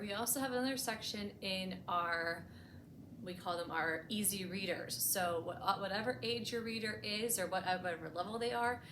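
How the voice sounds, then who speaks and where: Woman reading to children, echoey noisy room. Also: on the low side. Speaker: librarian hosting a bedtime storytime. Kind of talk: picture-book read-aloud with explanatory asides for children. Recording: echoey room with clear background noise.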